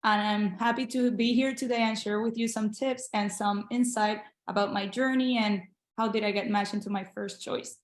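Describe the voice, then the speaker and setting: A woman's voice: medium pitch, steady tone, normal speed, few pauses. Young woman from Venezuela, native Spanish speaker, earnest and encouraging, echoey room on a video call. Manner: earnest, encouraging, with frequent restarts. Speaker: guest, international medical graduate. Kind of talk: casual interview.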